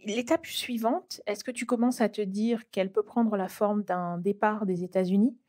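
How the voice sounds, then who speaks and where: Mellow-voiced woman asking interview questions. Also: medium pitch. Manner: measured, asks questions. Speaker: podcast host.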